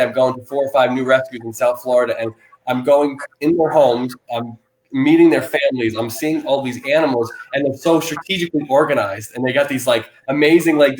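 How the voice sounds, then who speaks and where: A loud man hosts a live-stream chat about animal rescue and races through his thoughts, restarting sentences again and again. His voice sits at an ordinary middle pitch. It is picked up in a roomy, echoing space with a faint hiss behind it. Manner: rapid rambling with many restarts and fillers; loud, self-described loud person. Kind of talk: casual live-stream interview.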